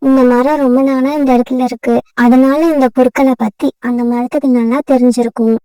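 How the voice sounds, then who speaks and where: A woman voicing a polite, sensible female sparrow who speaks as a gentle peacemaker in a children's cartoon. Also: sits high, level tone, brisk, dry recording, far from the mic, natural voice, rough recording. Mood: calming. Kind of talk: cartoon voice acting.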